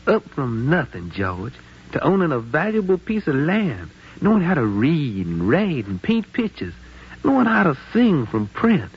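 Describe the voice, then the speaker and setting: A man's voice: a little high, wildly swooping pitch, unhurried, slightly rough, full character voice. A man playing a loyal, plain-spoken and protective friend in a 1950s radio drama, with an American accent. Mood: admiring, urging. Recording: muffled 1950s radio recording.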